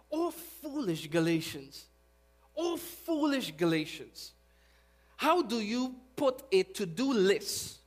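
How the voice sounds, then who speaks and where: Preacher, male, Guyanese accent, slightly high voice, prays softly then preaches loud and emphatic. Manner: rising to loud emphatic preaching, with prayerful soft passages. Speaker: pastor. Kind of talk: sermon.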